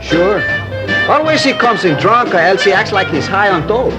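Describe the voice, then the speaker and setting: An actor playing an eager, gossipy nightclub bartender in a 1950s radio crime drama, with a band playing behind. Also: male voice, high-pitched, expressive, normal speed. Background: nightclub band music.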